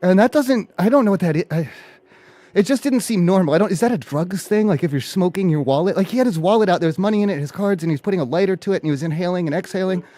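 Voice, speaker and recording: A man hosting a live prank call show, chatting casually in a rambling, halting way and often repeating his phrases. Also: sits high, dark tone, no echo.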